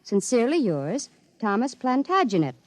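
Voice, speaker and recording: An actress playing a sweet, familiar young office secretary in a 1950s radio drama. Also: female voice, fairly high pitch, very animated, normal speed, dry recording. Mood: brisk, friendly. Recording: vintage radio drama broadcast.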